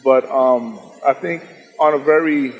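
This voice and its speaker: A young man gives considered interview answers in a medium-pitched voice. He speaks articulately, with the long reverb of a hall around him. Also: measured pace, dull audio. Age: young adult. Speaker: stage actor being interviewed. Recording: very echoey hall.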